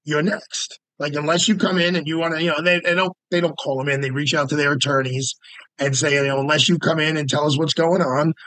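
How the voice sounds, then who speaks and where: A man's voice: fairly high pitch, steady tone, a little fast, sharp tone, dry recording, poor audio. A man, a lawyer guest talking casually and emphatically, often repeating words as he makes his point. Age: 50s. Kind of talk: casual podcast interview.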